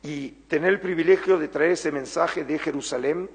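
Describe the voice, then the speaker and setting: A middle-aged Argentine man giving an earnest public lecture, measured and slowing for emphasis. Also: a little high, husky. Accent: Argentine Spanish. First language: Spanish. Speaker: lecturer, museum curator. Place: large former synagogue hall. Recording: long hall reverb.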